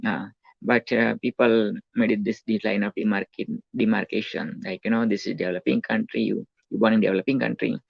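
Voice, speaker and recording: A man's voice: lowish voice, fairly even intonation, a little fast, vocal fry, husky, dry recording. A man in his forties with a Gujarati accent, telling his life story in a rambling talk full of fillers and restarts. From India. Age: 40s. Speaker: self-help speaker. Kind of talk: rambling autobiographical monologue. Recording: dull lo-fi dry recording.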